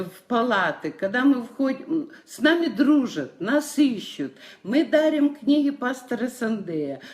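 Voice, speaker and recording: A woman's voice: on the high side, darkish tone. A woman, giving a personal testimony as a church member. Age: 60s.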